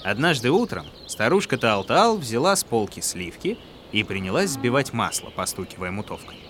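A man's voice: medium pitch, sing-song, normal speed, a bit bright, a little hiss or hum. A man narrating a folk tale as a storyteller, with music behind his voice.

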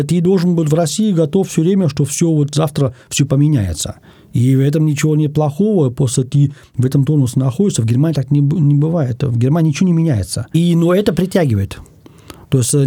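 A man from Germany, a guest in an interview, speaking thoughtfully in casual talk and pausing often to find his words. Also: mid-range voice, creaky, dark tone, breathy edge, no room sound, clean studio sound.

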